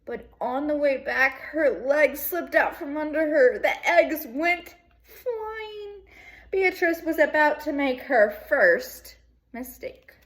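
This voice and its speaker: Higher-pitched woman reading to children. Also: slow. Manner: expressive storytelling addressed to young children. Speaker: second grade teacher. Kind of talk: reading a children's picture book aloud with casual asides.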